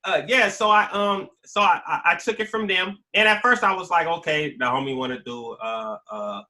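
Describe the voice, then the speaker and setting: A man's voice: high-pitched, normal speed, bright, forceful tone. An American man in his forties talking casually and emphatically, telling stories in slangy, repetitive phrases. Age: 40s. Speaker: guest, YouTube creator telling his life story. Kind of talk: casual conversational storytelling.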